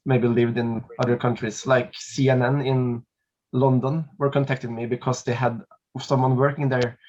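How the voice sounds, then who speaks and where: Guy, 30s, flat hesitant delivery, dull webcam-call sound. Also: mid-range voice, moderate pace. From Norway. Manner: hesitant, many fillers, laughs between phrases. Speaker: singer and documentary subject. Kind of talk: casual interview answers over video call. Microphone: laptop webcam mic on a video call.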